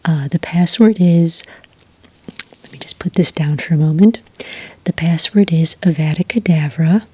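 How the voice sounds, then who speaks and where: A woman speaking very softly and breathily in an ASMR roleplay as a friendly cafe witch taking an order, gentle, unhurried and chatty, close to the microphone. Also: on the low side, dry recording. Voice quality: breathy, whispery. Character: friendly, obliging witch serving at a quaint cafe. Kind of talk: soft-spoken whispered ASMR customer-service roleplay. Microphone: close, dry microphone.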